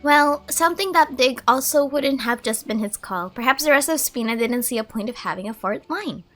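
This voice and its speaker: A young woman doing casual, chatty reaction commentary as she plays a game, very expressive. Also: high-pitched, normal speed. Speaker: gaming streamer. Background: soft game music.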